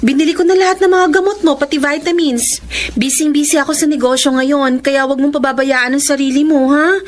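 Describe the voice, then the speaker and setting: Woman acting young Filipino businesswoman, high-pitched, driven, bossy, caring, emphatic, radio drama. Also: fairly even intonation, a little fast, close to an everyday voice. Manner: emphatic, scolding or boasting, mixes English into Tagalog. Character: driven 25-year-old businesswoman, bossy, caring breadwinner sister.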